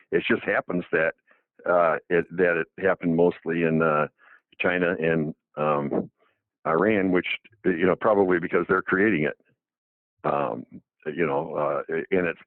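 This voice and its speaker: Older man, deep voice on a phone line. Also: dry recording. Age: 70s. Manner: rambling, many restarts and fillers. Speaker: doctor. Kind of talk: casual radio talk. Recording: phone-like dry narrow line.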